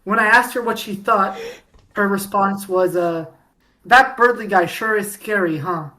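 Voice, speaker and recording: A woman co-hosting a podcast, chatting casually about fandom in an animated storytelling way with mock-dramatic emphasis. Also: medium pitch, a little slow. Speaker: podcast co-host.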